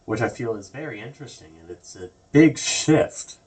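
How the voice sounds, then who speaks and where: A man's voice: medium pitch, expressive, normal speed, darkish tone, far from the mic. A man hosting a casual political commentary vlog, rambling with lots of restarts and "like" and "basically". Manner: rambling, repeats words and restarts often; loose everyday phrasing with many "like" and "basically". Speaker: video host.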